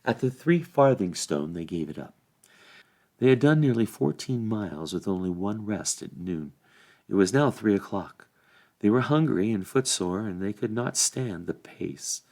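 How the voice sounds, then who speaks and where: A man reading a novel aloud as expressive bedtime storybook narration. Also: fairly low pitch, normal speed. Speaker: volunteer story reader.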